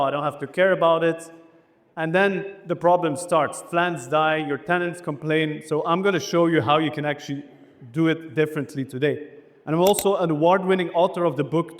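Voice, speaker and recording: A man with a Belgian accent giving an enthusiastic motivational business presentation to a live audience, as a keynote speaker. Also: on the high side. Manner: enthusiastic, rallying the audience with questions. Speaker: keynote speaker, landscape architect. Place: indoor event hall, speaking on stage. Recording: reverberant hall sound.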